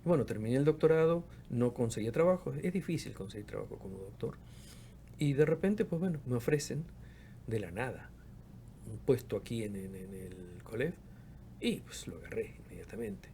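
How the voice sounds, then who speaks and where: A man's voice: medium pitch, animated, moderate pace, dark tone, slight background noise. A man in his fifties from Argentina's Patagonia, a researcher and professor, speaking reflectively as an interview guest and often repeating words as he searches for them. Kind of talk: casual interview conversation.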